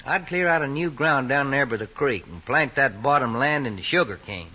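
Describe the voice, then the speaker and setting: A man's voice: mid-range voice, animated, normal speed, pushed and bright, husky. An actor playing an easygoing, optimistic farmer father in an old rural drama, with a folksy Southern American way of talking. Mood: easygoing, hopeful. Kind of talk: vintage rural screen drama acting. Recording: dull old film soundtrack.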